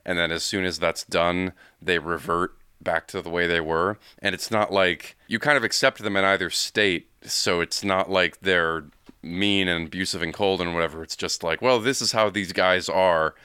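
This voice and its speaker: A man co-hosting a podcast, explaining films in a casual, measured way with asides. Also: low-pitched, bright, forceful tone, smooth, no room sound, clean studio sound. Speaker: podcast co-host. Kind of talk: casual film discussion.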